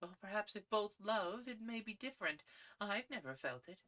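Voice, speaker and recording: A woman's voice: mid-range voice, lively intonation, moderate pace, sharp tone, pitched above the performer's own voice. A reader voicing an idealistic, proud young woman who speaks in earnest, lofty tones. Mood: reproachful. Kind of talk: audiobook character reading.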